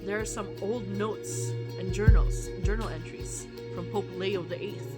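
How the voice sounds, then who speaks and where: A woman's voice: medium pitch, steady tone, a little slow. A woman livestreaming a visual novel, reading it aloud and reacting in a giddy, exclamatory, dramatic way. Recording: music behind the voice. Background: game background music.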